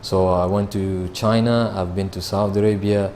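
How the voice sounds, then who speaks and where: A man, answering interview questions about his sales job in a measured way, with frequent fillers and restarts. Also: deep voice, fairly even intonation, darkish tone, slight background noise. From Kenya. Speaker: sales manager.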